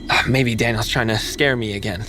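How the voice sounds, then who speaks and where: A man's voice: on the low side, fairly even intonation, fairly quick, sharp tone, slight background noise. A man acting in an audio drama as a skeptical, uneasy true crime podcaster. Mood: dismissive, skeptical. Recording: music under dramatic dialogue.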